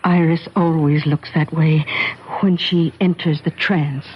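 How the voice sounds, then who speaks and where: An actress playing an anxious, credulous middle-aged aunt in a 1970s radio drama, with an urgent, emotional delivery. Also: female voice, low-pitched, fairly even intonation, unhurried, a little hiss or hum. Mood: earnest, expectant. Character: earnest, anxious, credulous aunt who trusts in a psychic. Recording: dull old radio broadcast, music behind.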